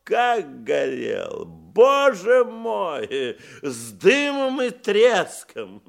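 A man's voice: high-pitched, huge pitch swings, very slow, vocal fry, full character voice. A man acting in a radio drama as a boastful, nervous womanising hotel guest, theatrical and effusive, with hesitations and stammers.

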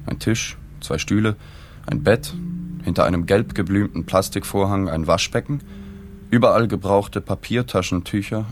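An actor playing a sober journalist who narrates a radio drama in a matter-of-fact, observant way. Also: male voice, low-pitched, slight background noise. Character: sober male journalist narrating his reporting.

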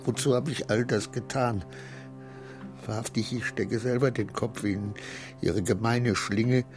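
A man's voice: mid-range voice, fairly even intonation, a little slow, over music, natural voice. A man in a radio drama playing an anxious, self-berating petty clerk who mutters to himself and swings into outbursts. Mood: despairing self-reproach.

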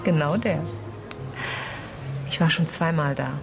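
A woman's voice: low-pitched, measured pace, vocal fry. Cool defensive young ex-girlfriend, natural radio play dialogue, music going behind her. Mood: cool, needling. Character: guarded, ambitious young woman, the hero's former lover.

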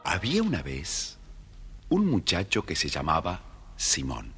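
Deep, animated male storyteller. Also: a little slow, loud music behind the voice. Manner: theatrical, addressing the listeners. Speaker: storyteller narrator. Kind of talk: scripted children's story narration.

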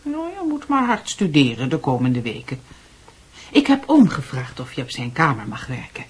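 An actress playing a caring middle-aged aunt in a radio drama, hesitant and gently admonishing, with a Netherlands Dutch accent. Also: female voice, deep voice, huge pitch swings, moderate pace, husky, full character voice. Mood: concerned, admonishing.

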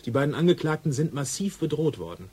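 An actor playing a dry, ironic police assistant who likes to needle, in a naturalistic radio drama. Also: male voice, a little high, big pitch swings, fast talker, dark tone, slightly rough, full character voice. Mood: dry, businesslike.

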